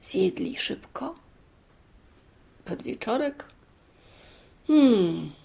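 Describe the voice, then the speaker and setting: Slow, deliberate woman storyteller. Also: fairly high pitch, expressive, vocal fry, dark tone. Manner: deliberate, pausing between short phrases. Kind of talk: children's fairy-tale narration.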